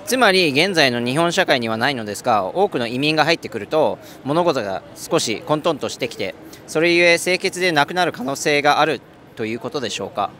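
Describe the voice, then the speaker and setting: A man's voice: a little high, lively intonation, brisk, bright, forceful tone, a little hiss or hum. A man asking polite, formal questions as a street interviewer outdoors.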